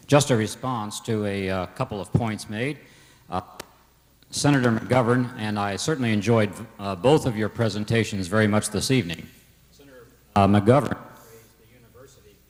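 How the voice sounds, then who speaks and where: A man in the audience making an earnest, hesitant comment at a town hall, with many pauses and fillers. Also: fairly low pitch. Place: large lecture hall. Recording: roomy hall with faint ambience, from the floor.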